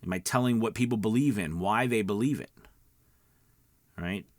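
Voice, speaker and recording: A man with a fairly low voice speaks as a coach hosting an instructional video. He is conversational and emphatic, punctuating his points with small check-ins. The sound is dry, with very little room in it. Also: normal speed, darkish tone, no room sound. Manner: emphatic, conversational, repeats check-in words like okay and all right. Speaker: voice-over coach, video host. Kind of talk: informal instructional talk to viewers.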